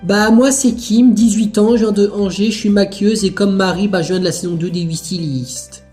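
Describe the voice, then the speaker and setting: A performer voicing an eighteen-year-old woman contestant who introduces herself slowly and plainly. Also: mid-range voice, fairly even intonation, darkish tone, faint music behind, natural voice. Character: teenage woman, 18, makeup artist.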